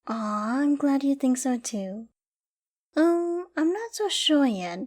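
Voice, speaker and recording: A woman playing a doting, affectionate girlfriend in an intimate ASMR roleplay, tender and cooing with gentle teasing. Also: on the high side. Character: doting, affectionate young girlfriend caring for her sick partner.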